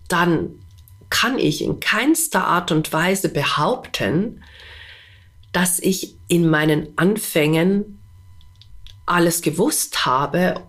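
Congested woman, slow earnest talk. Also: lowish voice. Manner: earnest, addresses listener directly with rhetorical questions. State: stuffy nose, slightly congested voice. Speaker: podcast host; animal communicator. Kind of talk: spontaneous monologue to listener.

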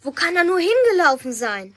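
A very high, impatient young girl's voice in an old children's pony radio play. The delivery is bright and theatrical, and loud noise sits behind the words. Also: very animated, normal speed. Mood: agitated. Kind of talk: 1970s-80s children's radio play acting.

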